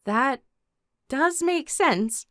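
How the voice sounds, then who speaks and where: A woman's voice: high-pitched, lively intonation, extremely slow, sharp tone, no echo, clean studio sound, exaggerated voice. A woman playing a composed, caring teenage warrior girl, narrating an audio drama in the first person and speaking her dialogue. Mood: thoughtful, reassuring.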